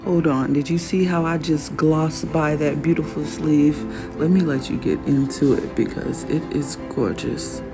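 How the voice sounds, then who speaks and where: A raspy woman vlogging casually about her own work, admiring it out loud and laughing often. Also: deep voice, fairly even intonation, measured pace. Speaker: fashion designer vlogger. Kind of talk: casual show-and-tell vlog. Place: workshop in Arizona. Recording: music playing behind the voice.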